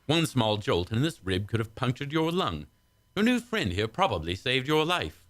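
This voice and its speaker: A concerned ship's doctor acted by a man in a space radio play. His mid-pitched voice swoops widely as he delivers clinical admonishments. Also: big pitch swings, normal speed, fairly bright tone, dry recording. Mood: admonishing. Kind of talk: science fiction radio drama acting.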